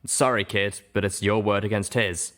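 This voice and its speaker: A stern guard pony, acted by a male reader, skeptical and official. He weighs out his words deliberately, like an officer of the law in an audiobook drama. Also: lowish voice, steady tone, fairly bright tone, natural voice. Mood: grim.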